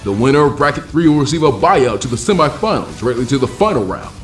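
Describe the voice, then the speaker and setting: A man playing a commanding tournament proctor, announcing formally and emphatically in a showy, drawn-out arena style. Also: mid-range voice, big pitch swings, echoey room, faint music behind, natural voice.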